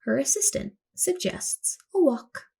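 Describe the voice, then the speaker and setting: A woman storyteller reading a picture book aloud to children in an expressive, dramatic way, acting out the words with her voice. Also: sits high, unhurried, creaky.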